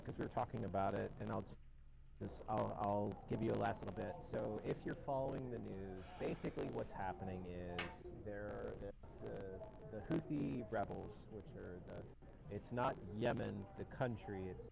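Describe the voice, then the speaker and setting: Gravelly man over a noisy background. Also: lowish voice, moderate pace. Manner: rambling, restarts, explains at length. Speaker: podcast co-host. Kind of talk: casual podcast chat.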